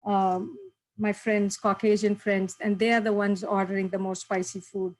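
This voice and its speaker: A woman's voice: mid-range voice, little pitch movement, normal speed, low-quality recording. A woman from India leading an online workshop, talking to participants in an encouraging way and laughing often over a video call. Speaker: workshop presenter.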